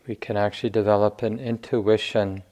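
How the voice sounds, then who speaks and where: A man teaching meditation, giving gentle guided instruction in short phrases with long pauses. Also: fairly low pitch, level tone.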